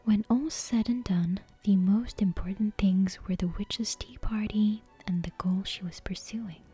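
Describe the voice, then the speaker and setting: A woman softly whispering a bedtime story in an ASMR style, close to the microphone. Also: mid-range voice, steady tone, unhurried, slightly rough. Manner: soft, unvoiced whispering.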